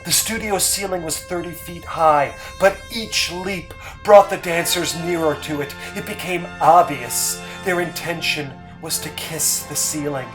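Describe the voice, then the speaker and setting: A man giving a dramatic, scripted story reading as narrator, measured and emphatic. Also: on the high side, rough recording. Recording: music bed under the voice.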